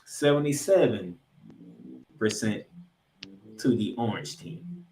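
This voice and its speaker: A man's voice: medium pitch, sing-song, slow pace, dark tone, slight background noise. An American man in an echoey room hosts a sports show. He talks through football matchups in an emphatic, colloquial way, with a mid-range voice. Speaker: sports podcast host. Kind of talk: animated football recap and preview. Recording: roomy, echoing sound.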